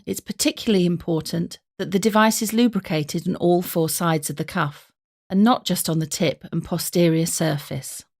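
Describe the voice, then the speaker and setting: A woman reading scripted narration for a medical training film in a formal, instructive manner. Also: on the low side. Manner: clear-cut, formal, instructive.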